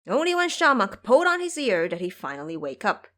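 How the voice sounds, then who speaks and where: A woman's voice: medium pitch, sing-song, fairly quick, pushed and bright. A woman narrating a scripted audio drama as a dramatic storyteller.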